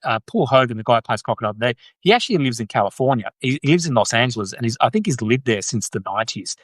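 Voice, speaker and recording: A man's voice: medium pitch, animated, rapid-fire, dry recording. An Australian man hosting a live stream, chatting casually with viewers and peppering his points with "right?" and restarts. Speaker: host.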